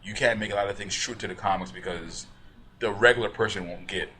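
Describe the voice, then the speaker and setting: Bright-voiced man from the Bahamas. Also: fairly low pitch, fast talker. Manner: animated, laughs often. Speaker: podcast guest. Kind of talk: casual chat.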